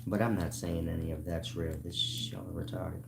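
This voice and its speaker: Deep-voiced American man, blunt street-casual monologue. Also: level tone, normal speed, gravelly, very noisy. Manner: colloquial, blunt, swearing, often checks listeners are following. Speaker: online spiritual teacher and channel host. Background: music.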